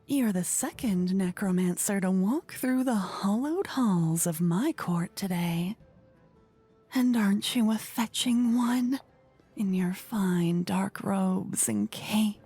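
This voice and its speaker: Woman playing a regal queen, ASMR roleplay, coy and courtly, mellow soft tone, breathy whispers, faint music behind. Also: mid-range voice. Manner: languid, coy, flirtatious, courtly. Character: playful regal fantasy queen of a tiny kingdom. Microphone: close ear-to-ear microphone.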